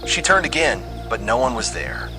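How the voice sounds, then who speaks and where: A man's voice: mid-range voice, big pitch swings, normal speed. A man reading a scripted horror story with dramatic, suspenseful delivery. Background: music under the voice.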